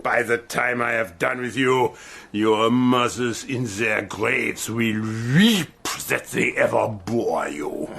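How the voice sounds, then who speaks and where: An actor playing a cruel, mocking German army colonel who gloats with slow, theatrical menace. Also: male voice, mid-range voice, creaky, fairly bright tone. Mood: menacing. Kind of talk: radio play acting.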